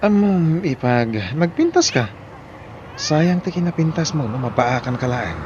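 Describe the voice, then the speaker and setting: An obliging, flirtatious married man with an animated, swinging delivery and an Ilocano Filipino accent. It is audio drama acting over faint background noise. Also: a little high, a little slow, muffled recording. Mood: flirtatious, teasing. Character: Jeric, obliging, flirtatious married brother-in-law.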